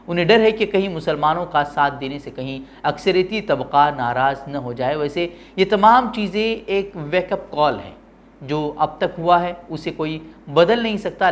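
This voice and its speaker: A man presenting opinionated news with formal, literary diction. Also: a little high. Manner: formal Urdu-heavy diction.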